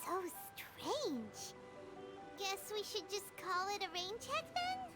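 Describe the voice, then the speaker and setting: A woman voicing a small, excitable, childlike fairy companion in an anime game, with a squeaky, piercing voice. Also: takes it slowly, exaggerated voice. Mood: puzzled, impatient. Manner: excitable, exaggerated delivery. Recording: game music behind voice.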